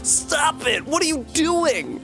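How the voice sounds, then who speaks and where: A man voicing a whiny, bullying village boy who shouts shrilly. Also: very high voice, very animated, takes it slowly, soft background music, voice pushed up, heavily acted. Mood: outraged.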